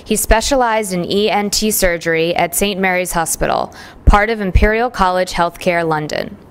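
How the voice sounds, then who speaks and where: A woman at a conference reading out a formal speaker introduction. Her voice is smooth and resonant, and she reads in a steady, even way. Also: on the low side, normal speed. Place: conference room.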